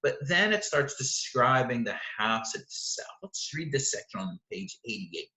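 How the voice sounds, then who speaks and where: A man's voice: mid-range voice, very animated, a little slow, pushed and bright. A man giving an informal explanatory literature lecture, stressing key points by repeating them and checking in with short questions. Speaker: literature teacher presenting a video lesson. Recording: roomy, echoing room sound.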